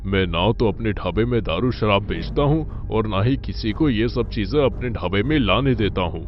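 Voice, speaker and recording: A man's voice: on the low side, lively intonation, moderate pace, lightly acted. Man playing a humble village eatery owner, dull recording. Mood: earnest. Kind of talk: cartoon village tale acting. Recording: dull lo-fi track with music.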